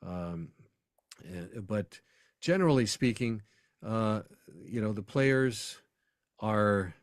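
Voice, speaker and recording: A man's voice: on the low side, lively intonation, slow, dry recording, clean studio sound. A man speaking as a podcast guest, an arena announcer telling anecdotes in a casual interview.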